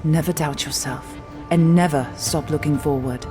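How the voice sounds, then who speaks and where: An older actress playing a solemn, tender female leader in a sci-fi audio drama, speaking in a grave, measured way. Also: low-pitched, steady tone, dark tone, faint music behind, close to an everyday voice.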